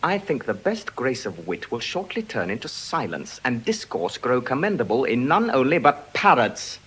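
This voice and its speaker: An actor playing an urbane young husband, wry and affectionate, in classical Shakespearean style. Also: male voice, medium pitch, big pitch swings, normal speed, a bit bright, a little hiss or hum. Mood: wry exasperation. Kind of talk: classical Shakespearean stage acting.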